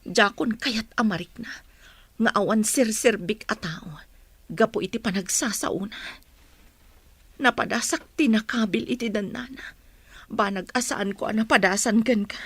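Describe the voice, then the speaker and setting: A woman's voice: mid-range voice, unhurried, a bit bright, husky, dry recording. A woman playing an aggrieved, emotional village mother in a radio drama, speaking emphatically. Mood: tearful, hushed. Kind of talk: radio drama acting in Ilocano.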